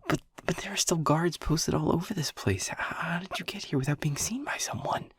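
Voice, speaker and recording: A man playing an anxious, protective young lab technician who stammers and keeps his voice to a hushed whisper. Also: fairly high pitch, a little fast, slightly rough, dry recording. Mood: frightened whisper. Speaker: audio roleplay voice actor. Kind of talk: audio roleplay acting.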